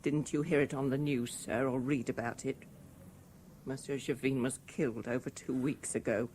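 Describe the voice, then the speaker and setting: An actor playing a deferential, plaintive household servant in a 1970s radio drama, gossipy and sympathetic, on an old radio recording. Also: male voice, mid-range voice, steady tone, normal speed, a little mellow, slight background noise, lightly acted. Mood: indignant, upset.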